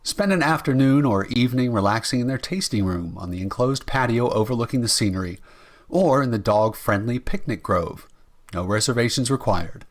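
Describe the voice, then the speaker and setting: A man working as an announcer, reading scripted radio sponsor copy and narration. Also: medium pitch.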